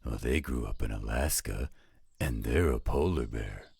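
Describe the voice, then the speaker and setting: A man voicing a gruff, patient older werewolf stepfather in a scripted roleplay audio drama. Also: a bass voice, darkish tone, no room sound, exaggerated voice. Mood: dry, gruff. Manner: gruff, dry, unhurried.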